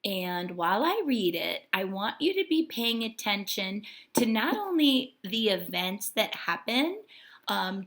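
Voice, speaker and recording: A woman teacher giving a read-aloud lesson to young children, speaking in a deliberate, instructive way. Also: mid-range voice, fairly bright tone. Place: home kitchen. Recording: echoey domestic room.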